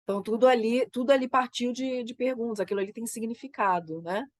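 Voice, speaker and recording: A woman with a Brazilian Portuguese accent, a theater creator getting over a cold, talking about her work in a reflective, halting way and often tagging her sentences with "né?". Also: on the high side, no echo. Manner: thoughtful, halting, frequent "né?" tags. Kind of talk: reflective conversation.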